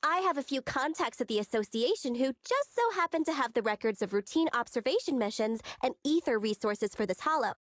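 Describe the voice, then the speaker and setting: A sly, self-assured young boss lady from an anime-style game. Her voice is high and dry, breezy and boastful, with a bossy edge. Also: expressive, a little fast, hardly any pauses, dry recording. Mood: smug, confident.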